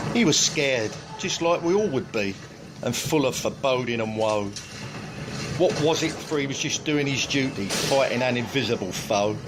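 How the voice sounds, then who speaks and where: A man reading poetry aloud in a solemn, measured, earnest recitation. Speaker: poetry reader. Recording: clear background noise, vehicle-like rumble.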